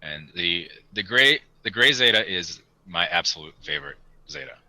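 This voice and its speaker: A man's voice: low-pitched, expressive, moderate pace, pushed and bright, no room sound, rough recording. A young man chatting casually and in a rambling way as a podcast host. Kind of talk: casual chat.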